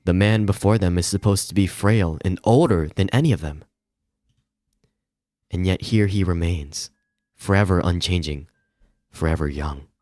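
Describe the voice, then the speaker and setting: A man reading a visual novel aloud as dramatic narration, with measured, somber phrasing. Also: low voice, a little mellow, no room sound, studio quality.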